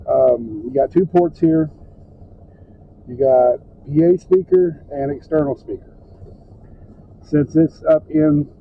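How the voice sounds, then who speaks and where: A plain-spoken man with folksy phrasing gives an informal, step-by-step hands-on tutorial from inside a truck cab. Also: medium pitch. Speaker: truck driver and trainer giving a tutorial. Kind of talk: informal hands-on how-to narration. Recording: tinny phone-like audio with background noise.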